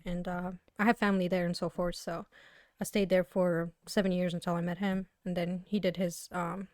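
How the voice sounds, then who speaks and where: A woman's voice: on the low side, level tone, normal speed, darkish tone, no echo, studio quality. A woman originally from Morocco, an interview guest chatting casually and finishing her husband's sentences. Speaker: guest, wife.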